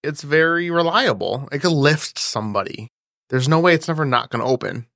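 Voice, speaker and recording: A woman co-host talks in a very animated voice that swings up and down. She is jokey and slangy, chatting casually about films, recorded close and dry. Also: low voice, brisk, no room sound. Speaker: podcast co-host. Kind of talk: casual joking film chat.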